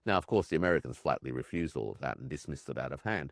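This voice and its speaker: Man, extremely low voice, dry close sound, thoughtful guest, hesitant fillers. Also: expressive, a little fast, vocal fry, dry recording. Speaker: interview guest, professor. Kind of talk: conversational interview analysis.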